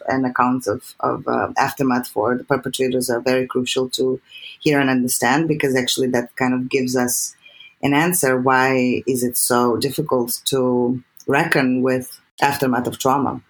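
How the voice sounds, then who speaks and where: A woman from Serbia speaks with a Serbian accent. She talks in an animated, earnest way and often repeats or restarts words as she thinks. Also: very deep voice, normal speed, low-quality recording. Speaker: guest filmmaker. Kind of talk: earnest interview talk.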